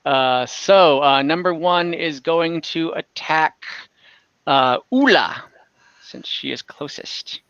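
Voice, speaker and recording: Game master, very bright voice, slow informal delivery, thinking aloud, dry slightly muffled online call. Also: mid-range voice, lively intonation, smooth, dry recording. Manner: informal, thinks out loud while tallying dice. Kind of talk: narrating a tabletop role-playing game. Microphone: online group call.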